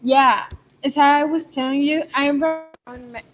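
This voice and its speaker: A woman from Texas talking loudly and slowly on a poor video call, checking if she is heard. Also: sits high, fairly even intonation. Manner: loud, slow, checking if heard. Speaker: contestant. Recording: dull, distant, noisy call audio.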